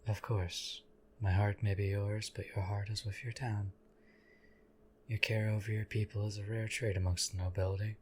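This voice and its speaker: Man playing a deep, flat-voiced stoic knight. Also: slight background noise, natural voice. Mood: stoic flattery. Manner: deadpan, formal, measured, archaic courtly phrasing. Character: stoic, loyal male knight, formal and devoted. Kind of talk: scripted audio roleplay addressed to the listener.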